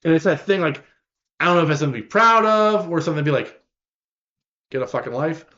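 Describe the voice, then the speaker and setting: A man's voice: on the high side, animated, fast, bright, forceful tone, dull audio. A man in his fifties hosting a casual podcast chat, rambling and wry, poking fun at himself. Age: 50s. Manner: wry, rambling, self-deprecating. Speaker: podcast host.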